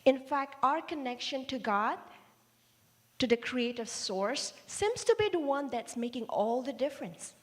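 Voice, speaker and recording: A woman who grew up in Indonesia preaches a sermon at a very unhurried pace, her voice sitting a little high. She tells stories with playful asides. The sound rings with the long reverb of a church hall livestream. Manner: measured, warm storytelling with playful asides.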